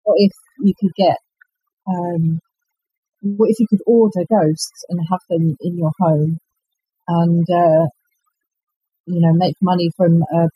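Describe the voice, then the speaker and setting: A woman, an author chatting in an interview in a halting, self-effacing way. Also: on the low side, breathy edge, highs cut off. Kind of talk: casual interview chat.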